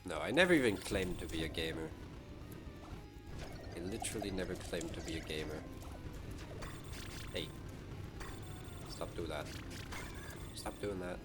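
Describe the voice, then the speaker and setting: A man's voice: low-pitched, lively intonation, unhurried, pauses often, over loud music. A man streaming a game live, chatting casually to his viewers and musing aloud to himself. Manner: talks to chat and muses aloud to himself.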